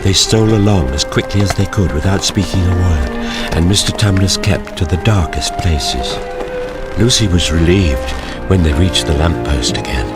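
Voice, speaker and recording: A deep-voiced storybook narrator. He tells the tale in a measured, composed way over prominent music. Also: expressive. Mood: measured storytelling. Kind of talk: scripted narration.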